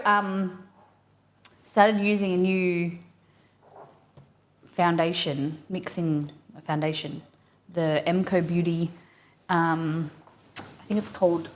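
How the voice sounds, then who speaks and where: Low-pitched Australian woman chatting casually. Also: slight background noise. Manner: informal, rambling, thinking aloud. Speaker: live stream cooking host. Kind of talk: casual chat with viewers while cooking.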